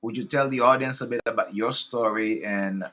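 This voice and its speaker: A man's voice: mid-range voice, sharp tone. Eager Haitian-accented male host. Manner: eager, halting, with many restarts. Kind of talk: casual interview.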